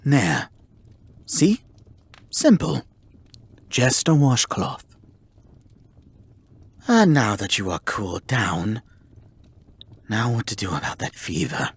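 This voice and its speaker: A man playing a haughty, grudgingly caring male kitsune in an ASMR roleplay, speaking formally and theatrically with a breathy, husky voice. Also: lowish voice, big pitch swings, takes it slowly, pauses often, full character voice. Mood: bossy, grudging care. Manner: formal, theatrical, exasperated scolding. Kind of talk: ASMR roleplay acting, addressing the listener.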